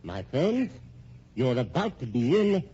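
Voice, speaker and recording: Gloating, vengeful schemer, dark-toned. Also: male voice, mid-range voice, very animated, measured pace. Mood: coldly menacing. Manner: theatrical, menacing, taunting. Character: vengeful, gloating schemers and menacing tough guys. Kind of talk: old-time radio thriller acting. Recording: dull, noisy old radio recording.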